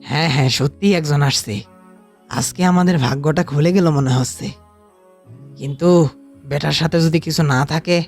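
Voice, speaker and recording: A man acts as a greedy cartoon bandit sidekick. His voice is on the high side for a man, eager and deferential, with soft music behind it. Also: moderate pace, long pauses. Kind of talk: animated cartoon voice acting.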